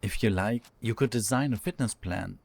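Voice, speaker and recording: A man acts an introspective young man in an audio drama. His voice is fairly low as he muses to himself, polite and a little formal. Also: normal speed, creaky, darkish tone, no echo. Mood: thoughtful. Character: sluggish, introspective young German man in another body. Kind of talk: audio drama acting, inner monologue.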